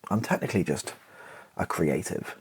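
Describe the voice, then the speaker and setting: A man chatting in a relaxed ASMR style, rambling and self-deprecating with a wry touch, his voice husky and creaky. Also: low-pitched, moderate pace. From Britain. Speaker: ASMR YouTuber and playwright. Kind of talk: relaxed ASMR-style casual chat to camera.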